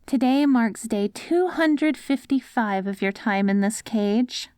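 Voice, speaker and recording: Casting: woman to play doting postapocalyptic wife for ASMR roleplay, higher-pitched voice, sweet and patient coaxing, slow delivery. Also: animated, darkish tone, clean tone, dry recording, clean studio sound. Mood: fond, chatty. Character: doting wife caring for her ghoul husband after apocalypse. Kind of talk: ASMR roleplay audio drama.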